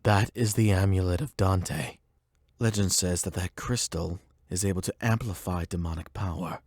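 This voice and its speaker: A man voicing a calm, erudite therapist in an anime audio roleplay, speaking in a measured, formal, gently probing way. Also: deep voice, breathy edge, no room sound, clean studio sound. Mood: measured, explanatory. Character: calm, erudite male therapist and demi-human expert.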